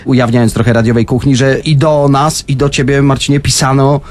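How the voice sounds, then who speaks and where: A man's voice: medium pitch, flat delivery, a little fast. A man hosting a conversational true-crime show on the radio, speaking speech from a studio. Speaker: radio host.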